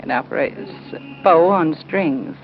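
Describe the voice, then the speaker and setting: A man's voice: fairly high pitch, expressive, takes it slowly, vocal fry. A man giving brief answers as a contestant on a live 1930s radio quiz. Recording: muffled, noisy 1930s radio broadcast recording.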